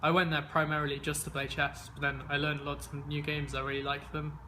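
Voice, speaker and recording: Bright-voiced young man, easygoing. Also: fairly high pitch, flat delivery, a little fast, a little hiss or hum. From England. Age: 20s. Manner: easygoing, many fillers and restarts. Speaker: interviewee, games player. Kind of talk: casual interview answers.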